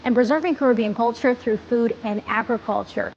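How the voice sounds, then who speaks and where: A woman hosting a podcast-style interview, making bright introductions and affirming her guests often. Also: a little high, fairly quick, highs cut off, slight background noise. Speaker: host.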